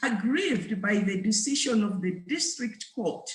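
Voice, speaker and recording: A woman's voice: mid-range voice, animated, a little slow. A woman reading a court ruling aloud formally as a judge. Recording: echoey room.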